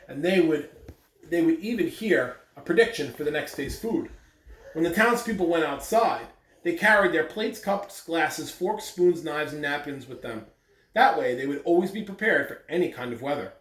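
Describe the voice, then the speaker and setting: A man's voice: fairly high pitch, animated, normal speed. A man speaking simply and directly to children, as in school morning announcements and story read-alouds. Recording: echoey room, distant mic, faint noise.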